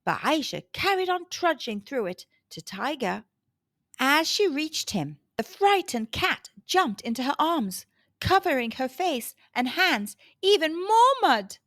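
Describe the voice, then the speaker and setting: High, animated woman telling children's stories. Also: no room sound, studio quality. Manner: dramatic, deliberate emphasis. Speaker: children's storyteller. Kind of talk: scripted storybook narration for kids.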